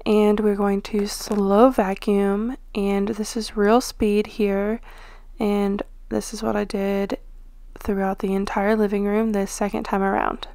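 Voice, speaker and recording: A woman's voice: mid-range voice, level tone, slow pace, darkish tone, clear, resonant tone, no echo. A young woman narrating her home cleaning vlog in a chatty voice-over, with frequent ums and small laughs. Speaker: cleaning vlogger.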